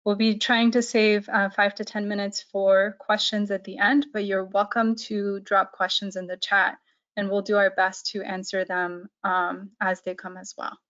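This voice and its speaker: A woman's voice: medium pitch, level tone, normal speed, fairly bright tone. Young woman giving a webinar talk, lots of ums, muffled video call sound. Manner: hesitant, many "um"s and restarts. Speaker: policy analyst presenting a report. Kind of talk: webinar presentation. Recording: dull lo-fi video call audio.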